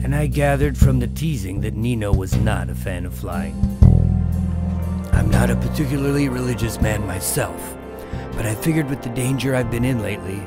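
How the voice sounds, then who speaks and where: A man acting as a dry, wry undercover agent who narrates his mafia infiltration in a radio drama, in a measured, understated way. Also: on the low side, creaky.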